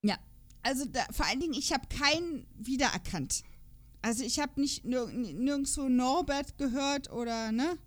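Female podcast host, high voice, chatty and jokey, casual delivery with some read-out notes. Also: normal speed.

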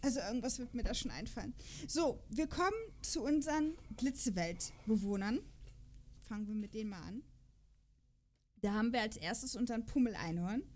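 Woman podcaster w a high voice, chatting casually, kinda jokey, going thru her notes. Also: mellow. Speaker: podcast host.

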